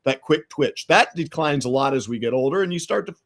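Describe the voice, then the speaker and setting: Man, middle-aged, American accent, storytelling, chatty interview guest, even mid pitch. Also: fairly quick. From United States. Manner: storytelling, many restarts and fillers. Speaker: podcast guest, entrepreneur. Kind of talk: casual interview conversation.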